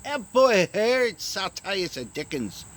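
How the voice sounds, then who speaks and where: A man's voice: high-pitched, sing-song, a little slow, fairly bright tone, pitched above the performer's own voice, heavily acted. A man voicing a gossipy male leprechaun with a put-on Northern Irish lilt, folksy, rambling and chuckling. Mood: grumbling.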